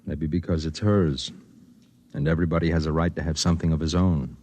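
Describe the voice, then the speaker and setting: A very deep-voiced, brooding man. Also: level tone, brisk, warm tone. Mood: guarded, earnest. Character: brooding, principled man, gentle narrator of his own story. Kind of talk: 1950s radio drama acting and first-person narration. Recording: vintage radio drama recording with music behind.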